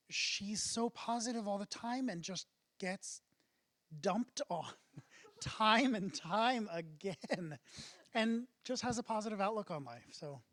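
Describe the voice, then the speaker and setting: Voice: medium pitch, fairly bright tone, no room sound. Co-host rambling happily about a big show, dry sound. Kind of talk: casual interview chat.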